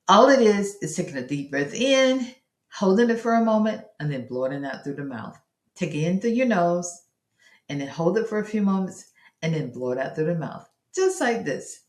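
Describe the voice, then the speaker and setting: Woman host giving parenting tips, kinda low voice, encouraging, stresses n repeats stuff, echoey room. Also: animated, normal speed. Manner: encouraging, emphatic repetition of key phrases. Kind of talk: instructional talk to parents, direct address. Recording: roomy, echoing voice recording.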